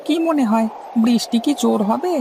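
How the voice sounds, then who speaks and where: A woman voicing an eager young male boatman's helper in a cartoon story, respectful, questioning and slightly fretful, with a creaky voice. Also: a little high, normal speed. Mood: questioning, unsure. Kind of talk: Bengali cartoon story dialogue. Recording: faint background noise and ambience.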